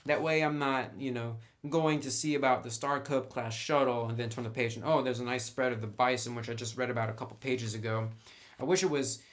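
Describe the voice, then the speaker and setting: A man's voice: medium pitch, fairly bright tone. A man giving a casual, unscripted review as he browses through a book, talking conversationally through each item.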